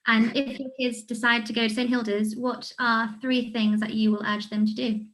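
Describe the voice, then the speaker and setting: A woman with a fairly high-pitched voice hosts an informal question-and-answer session. She reads out audience questions and responds conversationally to her guest. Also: flat delivery, normal speed, fairly bright tone, poor audio. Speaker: host relaying audience questions.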